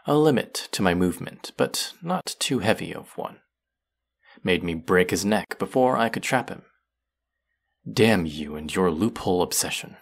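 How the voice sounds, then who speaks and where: Man acting a deep, gravelly, sardonic fantasy villain. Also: lively intonation, normal speed, heavily acted. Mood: menacing, smug. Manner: taunting, smug, theatrical. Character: sardonic, menacing young male fantasy villain holding his writer captive. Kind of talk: scripted roleplay audio drama monologue.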